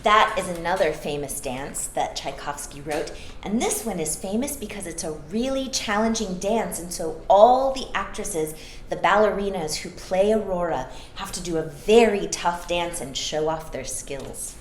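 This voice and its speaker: A woman reading a children's story aloud expressively, pausing to explain things to young listeners. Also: lowish voice, animated. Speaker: storytime reader. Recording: echoey room with faint background noise.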